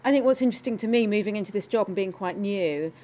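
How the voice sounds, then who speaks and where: A woman's voice: mid-range voice, expressive, fast, dark tone. A middle-aged British woman giving formal panel remarks as a government development official. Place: conference room, indoors.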